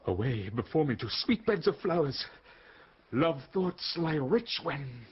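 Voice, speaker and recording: An actor playing a lovesick, melancholy duke, speaking rhapsodic poetic verse in a Shakespeare radio drama. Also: male voice, on the high side, very animated, unhurried, husky, lo-fi sound, full character voice. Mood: lovesick yearning.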